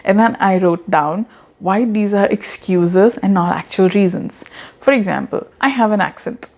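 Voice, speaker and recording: An earnest woman in her thirties, with an accent that blends Indian and American English. She speaks to the camera in an encouraging way, laughs at herself, and repeats words as she goes. Her voice sits at a middle pitch. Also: moderate pace, darkish tone. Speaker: online business coach. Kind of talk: casual talking-head advice.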